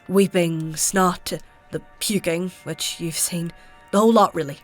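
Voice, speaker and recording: A brisk, clever fantasy healer, with a lowish voice. She speaks in a matter-of-fact, insistent and rather formal way, with faint music behind her in an audio drama. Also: steady tone. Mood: brisk, concerned.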